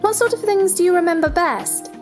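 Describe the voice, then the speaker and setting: A woman's voice: very high voice, fairly quick, soft background music. A woman playing a polite, puzzled, sensible young girl in a theatrical children's storybook drama, earnest and questioning.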